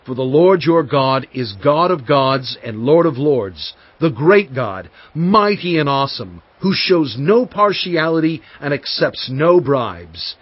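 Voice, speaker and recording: An actor playing a solemn prophet in dramatized scripture, exhorting his people in earnest, measured oratory with weighty pauses. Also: on the high side, big pitch swings, dry recording, heavily acted. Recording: dull studio track over faint music.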